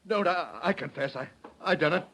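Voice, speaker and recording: Cornered, cowardly Western villain. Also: male voice, on the high side, fairly quick, sharp tone, a little hiss or hum. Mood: panicked, pleading. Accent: American. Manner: rough, stammering, melodramatic. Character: cowardly Western poisoner and stagecoach robber, blustering, cornered. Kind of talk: 1930s Western radio drama acting.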